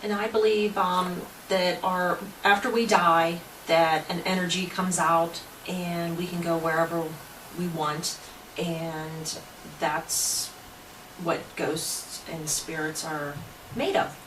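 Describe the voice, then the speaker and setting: A woman of about forty with an American accent, telling things casually to camera in short, halting phrases. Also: on the low side, little pitch movement. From Pennsylvania, United States. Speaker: paranormal investigator. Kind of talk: casual spoken testimonial to camera. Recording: echoey room, distant mic, clear noise.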